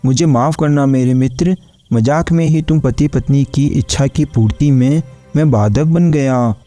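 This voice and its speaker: A man's voice: mid-range voice, unhurried, dark tone, soft background music, natural voice. A man voicing merchants and a king in a cartoon folk story. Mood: gracious. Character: men of a folk tale: merchants and a disguised king. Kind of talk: Hindi cartoon story acting.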